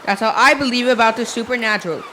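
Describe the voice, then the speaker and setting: A girl acts a timid, superstitious schoolmaster in a school radio drama. The voice is fairly high and bright, over faint noise. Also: quick pace, rough recording. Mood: nervous, earnest. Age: young teenager. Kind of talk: school radio play acting.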